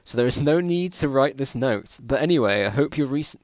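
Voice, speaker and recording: Male, British, mid-pitched. Informal dramatic storytelling with incredulous, mocking asides, recorded very dry. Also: expressive, a little fast, dry recording. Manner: amused, incredulous, breaking off to comment. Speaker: reader of his own old school stories.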